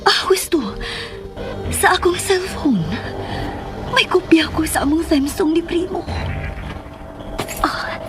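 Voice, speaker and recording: A sentimental, tender Filipino wife in a Cebuano radio drama. She speaks in a high voice as if musing aloud, with clear music playing behind her. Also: slow, long pauses. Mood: wistful, tender. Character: wistful, sentimental married woman recalling an old love. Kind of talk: Philippine radio drama monologue and dialogue.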